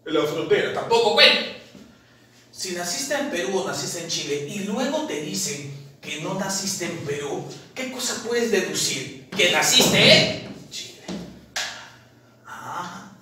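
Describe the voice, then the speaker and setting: A man teaches at a chalkboard in a lively, questioning way. His voice sits high and sounds sharp and bright. He is caught by a far-off camera in a very echoey room with a faint hiss underneath. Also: slow pace, pauses often. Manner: lively, asks questions and answers them himself, calls the viewer "papi". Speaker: math tutor at an admissions academy. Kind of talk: chalkboard lesson solving exam problems. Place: classroom at a chalkboard, indoors.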